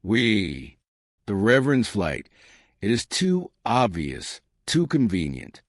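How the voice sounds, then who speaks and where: A man's voice: lowish voice, huge pitch swings, slow, no room sound, studio quality, heavily acted. A man voicing a courteous little male detective in an audiobook mystery, formal, precise and authoritative. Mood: deliberating.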